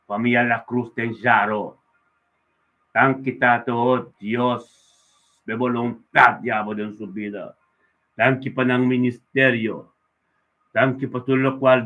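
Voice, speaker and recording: Guy preacher praying in short repeated bursts, kinda high voice for a man, pretty even tone, sounds like a phone. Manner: fervent, repetitive invocations in short emphatic bursts. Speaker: preacher leading a prayer. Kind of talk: extemporaneous devotional prayer of thanksgiving and blessing. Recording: tinny, phone-like band-limited sound.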